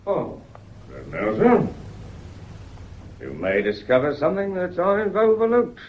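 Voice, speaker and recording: An actor playing a polite police inspector in a radio drama, deferential but quietly pointed and insinuating. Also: male voice, high voice, huge pitch swings, unhurried, narrow, tinny audio, a little hiss or hum, exaggerated voice. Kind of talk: stage-style radio drama acting.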